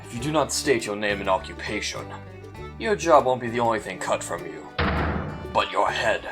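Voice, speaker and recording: A cold, menacing prosecutor, voiced by a man in a fan-made audio drama speaks in a low, clipped voice. He threatens with slow, deliberate calm. Music plays clearly behind him. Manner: clipped, menacing, calls others "little lamb".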